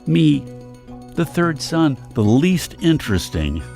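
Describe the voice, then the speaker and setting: An actor playing a wistful older father in a comic audio drama, confessing in a halting, wry way. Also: fairly high pitch, very animated, creaky, darkish tone, soft background music, heavily acted. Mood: wistful, romantic.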